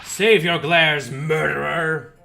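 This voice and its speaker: A man's voice: a little high, steady tone, slow, vocal fry, pushed and bright, slight background noise, exaggerated voice. A man voicing a hostile court officer in a visual novel game, sneering and accusing.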